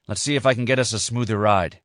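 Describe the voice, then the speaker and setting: A man reading aloud, voicing a calm male pilot who talks in a reassuring, matter-of-fact way. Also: lowish voice, quick pace, no echo, studio quality, natural voice.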